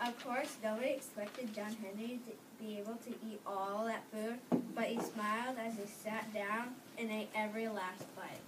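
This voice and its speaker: A woman's voice: a little high, fairly even intonation, normal speed. A woman narrating a folk story from a script as part of a reader's theater. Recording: distant mic with loud background noise.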